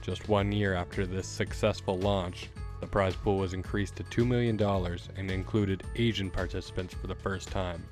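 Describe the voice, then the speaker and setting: A man's voice: low voice, steady tone, moderate pace, hardly any pauses, darkish tone. A man delivering scripted documentary narration. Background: music.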